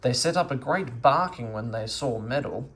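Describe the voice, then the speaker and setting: A man narrating a children's storybook aloud in an expressive reading style. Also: medium pitch, normal speed. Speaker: storybook reader.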